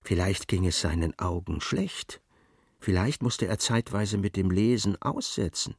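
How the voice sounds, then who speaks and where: A man's voice: deep voice, unhurried, breathy edge, dry recording, studio quality, full character voice. A man narrating a literary radio play in a dramatic, scripted style.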